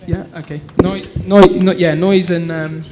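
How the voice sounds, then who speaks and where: A man's voice: sits high, little pitch movement, unhurried. A man, an artist, talking at a public panel, hesitant with many stumbling restarts. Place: art gallery talk before an audience. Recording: echoey gallery with background noise.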